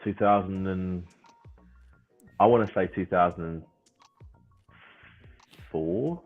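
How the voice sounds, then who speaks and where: A chatty man in his thirties with a very deep voice, ranting playfully on a livestream podcast. His audio comes through thin and phone-like, as if over a call. Faint music plays behind. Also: takes it slowly. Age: 30s. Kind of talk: casual livestream chat.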